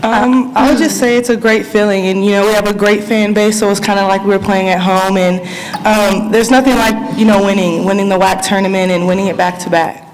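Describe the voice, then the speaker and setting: A woman's voice: medium pitch, steady tone, a little fast, slight background noise, low-quality recording. A young woman, a college basketball player, answering plainly at a press conference. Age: young adult. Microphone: table microphone.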